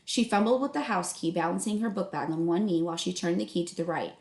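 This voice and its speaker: A woman's voice: fairly low pitch, quick pace, a little mellow. Woman teacher reading aloud in echoey room. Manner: instructive, questioning. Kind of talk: read-aloud narration with questions to students.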